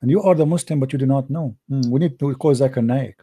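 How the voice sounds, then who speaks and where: A man's voice: medium pitch, fast, warm tone, breathy edge, no room sound. A man with an Arabic accent, a native Arabic speaker, pressing his points in a heated debate and repeating himself when interrupted.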